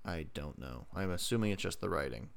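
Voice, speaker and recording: Low, smooth-voiced man bantering casually. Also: animated, brisk. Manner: playful, teasing, slips into mock quotes and sing-song. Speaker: podcast co-host. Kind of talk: casual banter on a review podcast.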